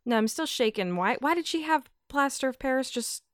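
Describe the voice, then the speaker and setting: A woman's voice: high-pitched, animated, fairly bright tone, no room sound, clean studio sound. A woman co-hosting a podcast, reacting to a story with sarcastic quips and questions. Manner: sarcastic, quick quips, laughs often. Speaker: podcast co-host. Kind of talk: casual chat, reacting.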